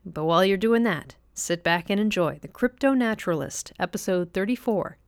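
A woman's voice: medium pitch, expressive, moderate pace, no room sound, studio quality. A woman reading a scripted announcement and promotional intro for a podcast. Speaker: show announcer.

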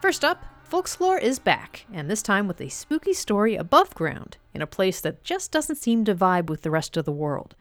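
A woman's voice: medium pitch, very animated, normal speed, hardly any pauses, no echo. A woman hosting an audio drama podcast, giving a cheerful, upbeat introduction. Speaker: host of audio drama showcase. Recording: dry studio voice over soft music.

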